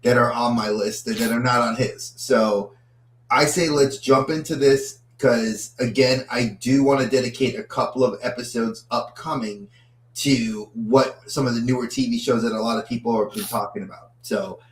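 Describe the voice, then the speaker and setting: A man's voice: mid-range voice, fairly even intonation, moderate pace. A man co-hosting a podcast, chatting casually about movies and often repeating words as he starts a thought. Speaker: podcast co-host. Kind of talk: casual movie-review chat. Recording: roomy echo.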